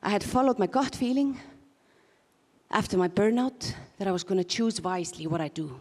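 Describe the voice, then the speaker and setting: A woman's voice: mid-range voice, expressive, fairly quick, a little mellow, in a large hall. A woman from Iceland with a mixed Icelandic, Scottish and English accent, doing self-mocking stand-up comedy on a conference stage and laughing at her own jokes. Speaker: stand-up performer.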